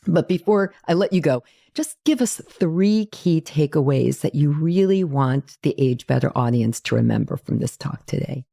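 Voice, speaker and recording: A woman's voice: deep voice, lively intonation, normal speed, creaky, few pauses, warm tone. A middle-aged woman hosting an interview podcast in a conversational, effusive and encouraging manner. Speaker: podcast host.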